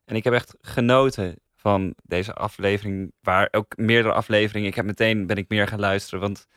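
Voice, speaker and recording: A man's voice: fairly low pitch, fairly even intonation, brisk, a bit bright, no room sound, clean studio sound. A man with a Dutch accent chatting casually as a podcast panelist. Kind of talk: casual chat.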